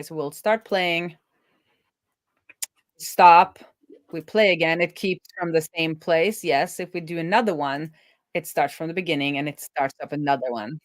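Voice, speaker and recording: A woman hosting a casual live coding stream, thinking out loud as she works and often trailing off and rephrasing. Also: sits low, no echo, studio quality. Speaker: host, web developer live coding.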